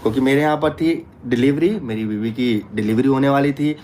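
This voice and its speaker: A man's voice: medium pitch, fairly quick, darkish tone. Insistent man over noticeable background noise. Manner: interjecting, repeats phrases insistently. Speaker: vlogger. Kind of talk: informal talk to viewers and companions.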